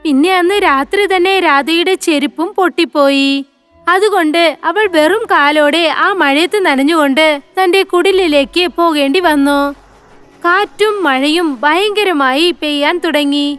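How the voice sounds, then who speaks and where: Very high-pitched woman storyteller. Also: fairly bright tone, no room sound. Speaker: narrator. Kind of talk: scripted children's story narration.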